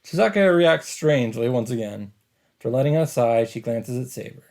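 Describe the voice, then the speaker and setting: Mellow man reading a visual novel aloud. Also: mid-range voice, expressive, fairly quick, creaky. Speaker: let's play host.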